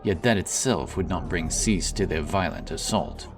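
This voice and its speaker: Deep-voiced, solemn male fantasy narrator. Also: moderate pace. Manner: solemn storytelling. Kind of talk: dramatic scripted fantasy narration. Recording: music under the narration.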